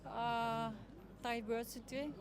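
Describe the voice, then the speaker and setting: A woman's voice: on the high side, level tone, takes it slowly. A woman in her sixties answering a street interview outdoors, thoughtful and hesitant, with many fillers. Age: 60s. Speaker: festival participant, parent activist. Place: outdoor festival square. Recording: crowd noise outdoors.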